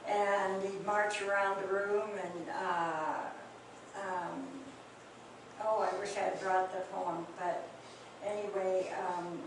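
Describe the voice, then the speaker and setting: An older woman telling family memories to a small group in a hesitant, reminiscing way, with many pauses and fillers. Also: medium pitch, steady tone, vocal fry. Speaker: speaker recalling family memories. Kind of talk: reminiscing oral history talk. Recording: echoey room, distant mic, noisy.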